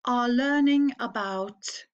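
Norwegian teacher reading slowly, higher voice. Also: female voice, dull audio. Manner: slow, clearly phrased read-aloud with pauses. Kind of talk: slow read-aloud for learners to repeat.

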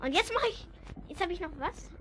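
An eager bossy kid. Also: female voice, extremely high pitch, expressive, brisk. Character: eager young child leading a guessing game. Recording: hissy vinyl rip.

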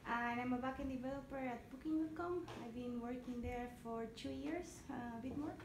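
A woman from Argentina gives a quick, friendly self-introduction to her class. Her voice sits a little high and rings around the meeting room, with noise behind it. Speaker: student. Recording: roomy room recording with noise.

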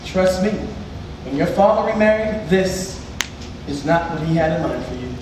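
A man's voice: fairly high pitch, expressive, moderate pace, noisy background, close to an everyday voice. A sassy, theatrical fairy godfather played by a teenage man in a stage musical, teasing and wise. The sound is very echoey, caught from far off in a big theatre. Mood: reassuring. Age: teens, 17. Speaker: student stage actor and singer. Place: large indoor theatre stage, distant camera. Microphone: far camera.

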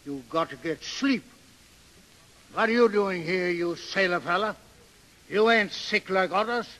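An actor playing a stubborn, protective old barge captain in gruff broken English, in a 1930s radio drama. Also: male voice, high voice, a little slow, pushed and bright, rough recording. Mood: gruff, bossy. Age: older. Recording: hissy 1930s radio broadcast.

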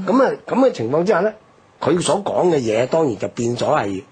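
A man's voice: a little high, big pitch swings, fairly quick, a little mellow, husky. An older man with a Hong Kong accent, recounting history in an emphatic, explanatory way on a radio talk.

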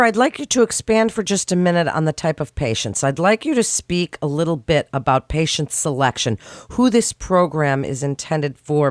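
A woman's voice: sits low, dry recording. Polite woman podcast host, dry sound. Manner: polite, scripted questions. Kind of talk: interviewing guests.

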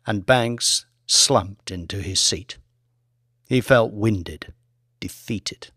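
A man's voice: lowish voice, a bit bright, dry recording, clean studio sound. A man reading scripted fiction as an audiobook narrator, with dramatic storytelling delivery.

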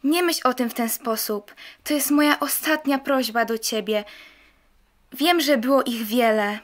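A young woman plays a ghostly temptress in a radio drama, coaxing and pleading. Her female voice sits fairly high. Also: unhurried. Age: young adult.

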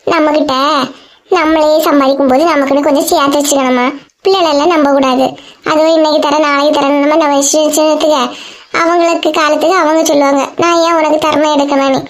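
A woman's voice: high-pitched, little pitch movement, a little fast, natural voice. A woman voicing a strict, self-assured mother-in-law in a cartoon comedy, advising firmly and boastfully in a Kanyakumari Tamil accent. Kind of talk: comic cartoon skit.

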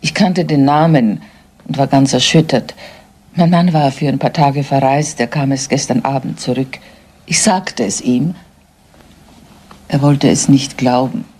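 An actress playing a composed, restrained wife with a husky voice in a crime radio play. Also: female voice, rumbling low, a little slow, darkish tone. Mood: composed, grave. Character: composed, frail wife who condones her husband's affairs.

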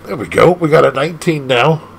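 A man casually commenting on an arcade game as he plays, excitable and laughing often, leaping from squeaky highs to low growls. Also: fairly quick, creaky, slight background noise. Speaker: gaming channel host.

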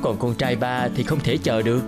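A thoughtful, loving father from a children's cartoon, played by a man. He speaks gently and reflectively, with music behind the voice. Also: mid-range voice, steady tone, moderate pace, lightly acted. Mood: tender.

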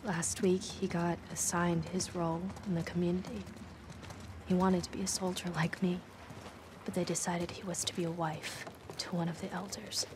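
An actress playing a gentle, earnest teenage girl survivor in a game cutscene, speaking in short plain sentences. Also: lowish voice, flat delivery, normal speed, noisy background, close to an everyday voice. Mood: quiet, sad. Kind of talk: naturalistic game cutscene acting.

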